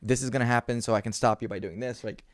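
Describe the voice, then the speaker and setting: A man's voice: mid-range voice, fairly even intonation, quick pace, no room sound, clean studio sound. A man with a stammer co-hosting a podcast in casual, emphatic, sweary chat. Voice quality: has a stammer. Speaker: podcast co-host.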